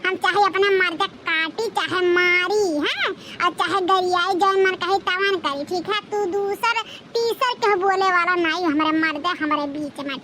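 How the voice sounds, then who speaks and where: A woman's voice: very high voice, very noisy. A woman voicing a hot-tempered village wife with an Awadhi accent in a comedy cartoon, ranting and threatening her husband.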